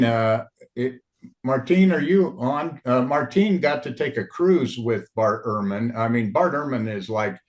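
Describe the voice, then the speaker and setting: A man in a book club on a video call, casually prompting another member to share her story. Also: mid-range voice. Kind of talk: video call group discussion. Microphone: computer mic on video call.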